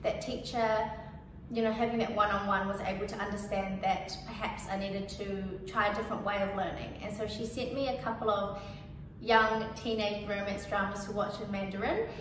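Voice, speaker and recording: A woman's voice: mid-range voice, steady tone, normal speed. Chatty young Kiwi woman, echoey distant sound. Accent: New Zealand. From New Zealand. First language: Cantonese. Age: young adult. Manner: casual and chatty, with many "like" and "you know" fillers. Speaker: featured speaker. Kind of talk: personal testimonial. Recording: echoey, distant mic with background noise.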